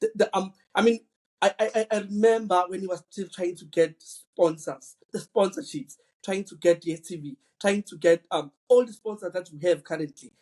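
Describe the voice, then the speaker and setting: Husky high-voiced man. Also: normal speed, darkish tone. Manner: earnest, repeats words while thinking. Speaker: podcast co-host. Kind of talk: casual football chat.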